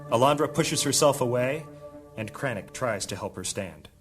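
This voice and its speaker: A male narrator of a fantasy audio drama with a mid-range voice. He reads scripted, dramatic narration over loud background music. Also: medium pitch, animated, moderate pace.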